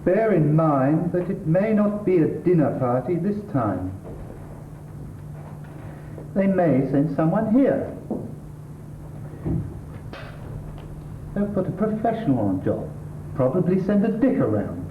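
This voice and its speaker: A man's voice: on the high side, big pitch swings, a little slow. A man acting a sardonic, exasperated middle-class English husband who lectures his wife in a stage comedy. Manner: exasperated, pedantic, dry sarcasm. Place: theatre stage. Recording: distant, echoey, tinny stage recording, hissy.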